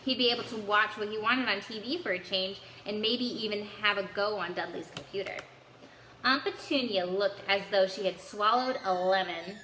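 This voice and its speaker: A woman reading a children's story aloud in an expressive storytelling style. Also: medium pitch, moderate pace. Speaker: story-time reader. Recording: echoey room with faint background noise.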